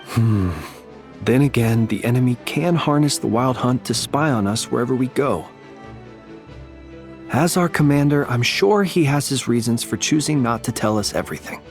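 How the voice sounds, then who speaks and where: A man's voice: mid-range voice, lively intonation, soft background music, close to an everyday voice. Casting: male actor for courteous, reflective military man in a game, mid-range voice, earnest delivery. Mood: pondering. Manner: measured, formal. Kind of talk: video game voice acting.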